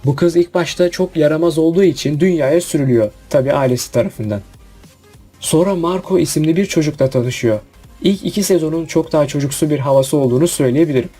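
A man's voice: a little high, quick pace, mellow. A man narrating a scripted countdown review. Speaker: video narrator. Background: background music.

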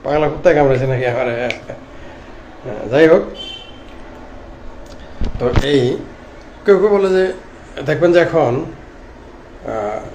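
A middle-aged man with a Bangladeshi accent gives informal political commentary to camera, speaking haltingly in short broken phrases. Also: fairly high pitch, expressive, a little mellow. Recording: clearly noisy background ambience.

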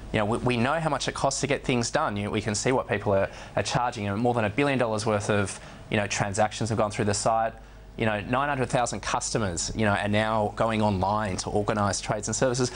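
A man's voice: lowish voice, a little hiss or hum. A man in his thirties with an Australian accent talks conversationally in an interview, often repeating words and saying "you know". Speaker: studio guest, online business representative. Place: television news studio, indoors.

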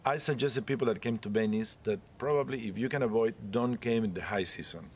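A man's voice: on the low side, a little fast, darkish tone, slight background noise. A man in his forties from Peru, talking casually with a rambling manner in a street interview in a leafy courtyard outdoors. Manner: chatty, rambling, non-native English. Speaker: interviewee, cruise ship photographer.

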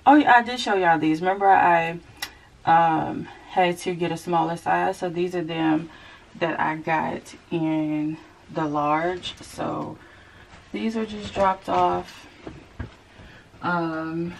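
Chatty woman hurrying through a haul. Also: lowish voice. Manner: chatty, rushed, addresses viewers as y'all. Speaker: vlogger. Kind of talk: casual clothing haul chat. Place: walk-in closet at home. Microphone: camera set up across the closet. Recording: hissy home video.

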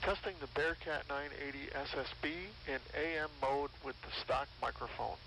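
A man's voice: mid-range voice, fairly even intonation, normal speed, creaky, sharp tone. A man reading out a scripted test transmission, heard through a CB radio receiver. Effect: received over CB radio. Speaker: radio hobbyist doing transmit tests. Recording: noisy CB radio transmission with clicks. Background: radio static.

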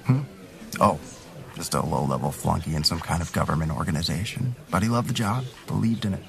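A man's voice: low voice, a little fast, gravelly. An actor playing a wry, earnest city councilman who is wounded, in an audio drama. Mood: reflective. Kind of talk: full-cast audio drama acting.

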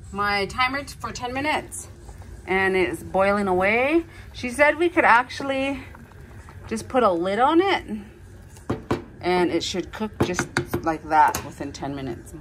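A woman in her thirties chatting casually as she cooks at home and films on her phone, filling pauses with fillers and little apologies. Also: mid-range voice, a bit bright, a little hiss or hum. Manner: chatty, self-narrating, filler-heavy, apologetic. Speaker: home cooking vlogger. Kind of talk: casual cook-along chat. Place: home kitchen, indoors.

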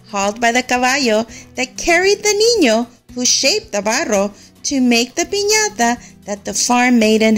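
A woman narrating a children's story in an expressive, measured way, as a read-aloud storyteller. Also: on the high side.

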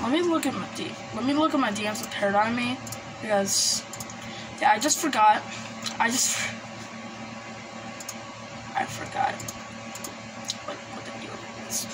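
High-voiced woman rambling through a noisy vlog. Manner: hesitant, rambling, informal. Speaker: collector presenting gadgets. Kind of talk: casual show-and-tell vlog. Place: small indoor room at a desk. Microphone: handheld phone camera. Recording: noisy handheld recording, background hiss. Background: noise and radio devices.

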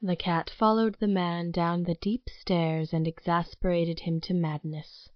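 Female narrator for audio drama: lowish voice, formal, deliberate storytelling, close dry sound. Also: fairly even intonation, no room sound, muffled recording.

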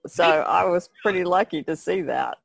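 A woman's voice: on the low side, moderate pace, vocal fry, dry recording. A woman chatting casually as a panelist on a podcast. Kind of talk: casual chat.

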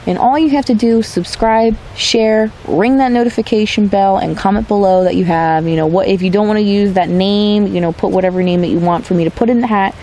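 Woman tarot reader, gravelly fry, warm dark tone, reassuring and unhurried, forest setting. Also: medium pitch, a little hiss or hum. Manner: reassuring, drawn-out, repeats "okay?". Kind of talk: tarot reading video. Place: outdoors in a forest.

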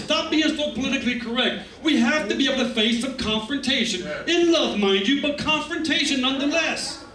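High bright voiced pastor guy preaching, chatty and emphatic, echoey church, mic sounds far off. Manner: conversational, emphatic preaching with asides. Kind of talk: sermon. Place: church stage, medium hall, indoors. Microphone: handheld mic. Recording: echoey room, camera set far back.

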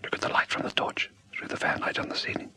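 An actor playing a steady, wry police officer in a vintage radio drama, speaking tersely. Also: male voice, quick pace. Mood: tense, hushed.

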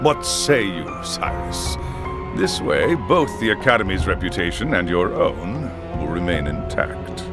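An actor playing a stern older academy headmaster in a video game, speaking formally and with measured theatrical weight. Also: male voice, low-pitched, sing-song, exaggerated voice. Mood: measured, concerned. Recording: orchestral game music behind the voice. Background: background music.

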